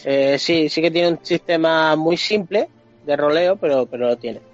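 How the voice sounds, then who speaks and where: A man's voice: a little high, moderate pace. A man with a Spanish accent from Spain, co-hosting a casual video game chat in colloquial, sweary language. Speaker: podcast co-host. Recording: muffled lo-fi podcast, music underneath.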